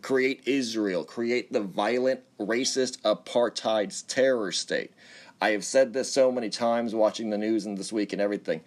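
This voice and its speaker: A man's voice: lowish voice, moderate pace. A man talking bluntly in a casual livestream conversation, swearing often and filling gaps with "like" and "uh". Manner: blunt, profane, filler-heavy.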